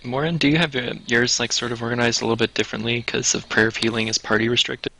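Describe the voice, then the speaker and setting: A man's voice: medium pitch, flat delivery, quick pace, gravelly, pushed and bright, low-quality recording. A man co-hosting a podcast in a casual voice chat, with a joking, sarcastic streak. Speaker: podcast co-host. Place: voice chat for a live online show. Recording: background noise with clicks.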